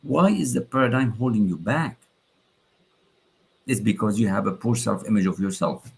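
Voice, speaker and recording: A man from Lebanon hosting a livestream, pitching his coaching program persuasively and repeating phrases for emphasis. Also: normal speed, creaky, a little mellow, husky. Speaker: coach and host.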